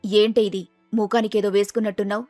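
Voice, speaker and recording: A woman's voice: medium pitch, flat delivery, fast talker, darkish tone, dry recording, soft background music, voice pushed down, natural voice. A woman voicing a middle-aged, fussing, practical mother of a bride in storybook dialogue, with a motherly, instructing manner. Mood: curious, chatty.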